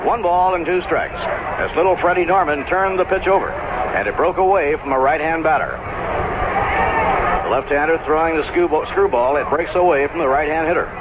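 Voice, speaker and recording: Male radio baseball play-by-play man, 1970s, slightly high-pitched, measured storyteller with clipped calls; tinny, heavily noisy broadcast. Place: ballpark broadcast position.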